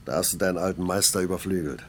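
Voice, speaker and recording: A man playing an older, gruff factory foreman in a German radio play, sardonic and needling, with a gravelly, husky voice. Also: sits low, rapid-fire.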